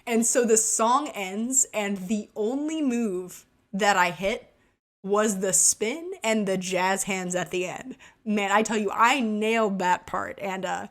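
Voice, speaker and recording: A woman's voice: mid-range voice, slow pace. Woman telling a self-mocking comic story. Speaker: gaming vlogger. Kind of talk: casual storytime narration over gameplay.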